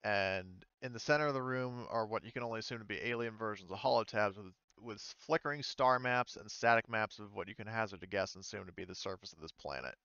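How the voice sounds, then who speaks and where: Male game master, low and clean-voiced, informal tabletop narration on a close, slightly dull mic. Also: quick pace, hardly any pauses, sharp tone, clear, resonant tone, no echo. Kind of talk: casual game narration with read-out descriptions.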